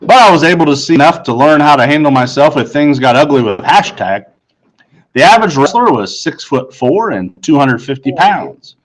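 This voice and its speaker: A man's voice: a little high, lively intonation, normal speed, rough recording. Man reading a story aloud. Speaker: audiobook reader. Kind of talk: scripted first-person story narration.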